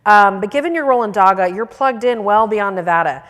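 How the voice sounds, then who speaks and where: A woman's voice: mid-range voice, animated, a little fast, a bit bright, big-room sound. A woman from Texas moderating a political panel, asking prepared questions. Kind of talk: panel interview.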